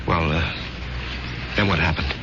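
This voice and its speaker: An actor playing a quiet, uneasy married businessman in a 1970s radio drama, speaking in a hesitant, questioning way. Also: extremely low pitch, unhurried, background noise. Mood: stunned, uneasy. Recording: muffled 1970s radio broadcast, music behind.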